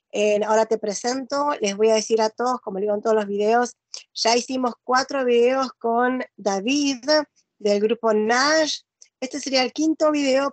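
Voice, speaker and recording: Chatty Argentine woman, slightly high voice. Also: no echo. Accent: Argentine Spanish. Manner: informal, rambling, chatty. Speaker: interviewer and host. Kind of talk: casual chatty video interview.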